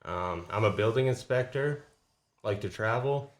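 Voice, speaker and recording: A man's voice: fairly low pitch, expressive, a little slow. A man from Canada, a guest on a podcast, chatting casually and laid-back about growing up all over the place. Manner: laid-back, self-described introvert.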